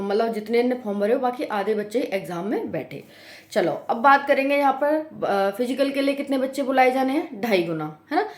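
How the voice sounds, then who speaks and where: A woman's voice: on the high side, a little mellow. A woman with a Braj accent from western Uttar Pradesh explains things informally to students in a colloquial, direct way, often checking in with short tag questions. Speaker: exam coaching YouTuber. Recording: echoey room.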